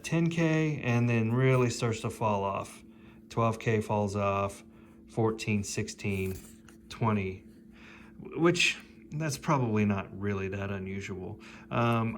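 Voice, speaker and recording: A man's voice: medium pitch, slight background noise. A man giving a casual, off-the-cuff video walkthrough, hesitant and correcting himself as he thinks aloud. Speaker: host of a DIY audio repair channel.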